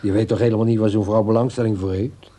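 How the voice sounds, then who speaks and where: Very dark-toned, disapproving man played by an actor. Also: on the low side, steady tone, fast talker, close to an everyday voice. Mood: prim disgust. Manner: questioning, disdainful. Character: puzzled, prim, disapproving man discussing an old man. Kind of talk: naturalistic radio drama acting.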